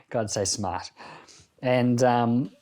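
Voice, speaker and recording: A man's voice: medium pitch, expressive, extremely slow, a little mellow. A man speaking as a pastor, giving informal Bible teaching in a reflective, conversational storytelling manner.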